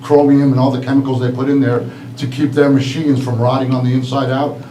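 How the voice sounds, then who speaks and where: A man's voice: mid-range voice, flat delivery, fairly quick, mellow. A middle-aged man from Greece, an electrician, giving a plain, emphatic public comment at a city meeting. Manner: plain, emphatic, repeating key words. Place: council meeting room. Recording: echoey hall, distant mic, faint noise.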